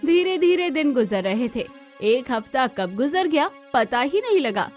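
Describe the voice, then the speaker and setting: A woman's voice: sits high, very animated, unhurried, darkish tone, faint music behind. A woman reading scripted narration for a cartoon moral story.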